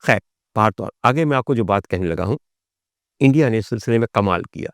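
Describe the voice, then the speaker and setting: A man's voice: lowish voice, lively intonation, a little fast, vocal fry, no room sound, clean studio sound. A man with a Pakistani accent hosting a vlog as a storytelling monologue, with theatrical, formal delivery and English phrases mixed in. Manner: theatrical, rhetorical questions, formal addresses to the audience; mixes English phrases into speech. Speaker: vlog host. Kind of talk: storytelling monologue on film history.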